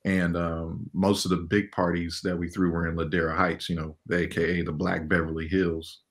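A man in his forties with a very deep voice, talking about his life as a guest on an interview. He speaks in a loose, relaxed way, full of fillers, and often breaks into laughter. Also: normal speed. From Los Angeles, California. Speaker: animation director, guest. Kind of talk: casual interview talk.

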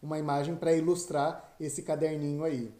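A man's voice: on the high side, little pitch movement, moderate pace, mellow. A Brazilian man co-hosting a podcast, talking affectionately and with gushing delight. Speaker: podcast co-host. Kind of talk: casual podcast chat. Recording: roomy, echoey room.

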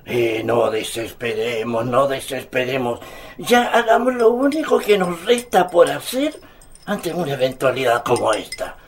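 A devout old grandfather in a radio drama. His voice is gravelly and slow, with wide swings of intonation. He tells things earnestly and patiently, often easing in with a small hesitation. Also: medium pitch, lively intonation. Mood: calm, reassuring. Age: older. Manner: earnest, hesitant openings, measured storytelling. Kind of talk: Spanish-language radio drama acting.

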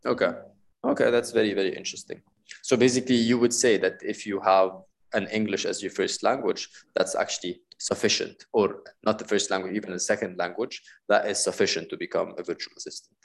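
A man hosting a casual interview, speaking haltingly with many filler sounds and restarts. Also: on the low side, fairly even intonation, vocal fry. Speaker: podcast host. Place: Bali.

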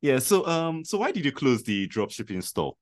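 A man's voice: medium pitch, very animated, normal speed, no echo, clean studio sound. A man hosting a podcast, introducing his guest and reacting with short comments and laughs. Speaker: podcast host. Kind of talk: conversational interview.

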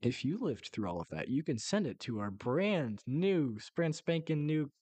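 A man's voice: on the high side, very animated, normal speed, a little mellow, breathy edge, no echo. Joking male podcast co-host, dry close sound. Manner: jokey, self-interrupting asides. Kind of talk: casual podcast explainer with joking banter.

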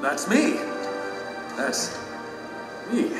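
Self-assured but uneasy character, animated intonation, exclaiming, heard distantly in a reverberant hall over music. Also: male voice, high-pitched, very slow, heavily acted. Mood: nervous bravado. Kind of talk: dramatic audio drama acting.

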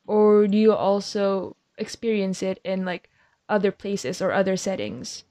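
A woman's voice: medium pitch, measured pace, warm tone. A young woman asking questions in a casual conversation, curious and chatty with lots of fillers. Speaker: interviewer.

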